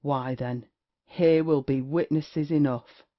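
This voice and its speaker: A performer playing a witty, lewd, cynical gentleman rake in an audio play of a period comedy. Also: medium pitch, steady tone, slow pace, lightly acted. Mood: brisk. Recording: dull, lo-fi audio.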